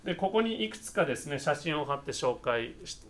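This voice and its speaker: Guy w a highish voice, really lively intonation, buddhist minister explaining stuff step by step, conversational. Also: brisk. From Nagasaki, Japan. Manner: conversational, explains step by step, often ends phrases seeking agreement. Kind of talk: explanatory Buddhist talk with photos.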